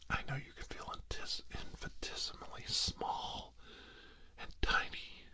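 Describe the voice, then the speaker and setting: A man giving a guided ASMR healing session in a breathy, mostly unvoiced whisper, soothing and hushed, in short phrases with long pauses. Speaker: ASMR reiki healer.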